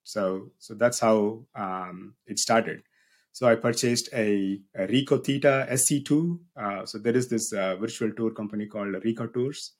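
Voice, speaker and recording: South indian guy in his 30s, kinda low voice, easygoing podcast guest telling his story with a bit of humor. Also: a little slow. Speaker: guest, business owner and university researcher. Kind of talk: casual podcast interview.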